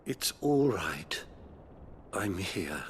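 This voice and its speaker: Slow, gentle, solemn abbot. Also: male voice, deep voice, very animated, slight background noise, heavily acted. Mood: gentle, sombre. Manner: courteous, formal, measured. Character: gentle, solemn male abbot caring for the dying. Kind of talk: video game voice acting.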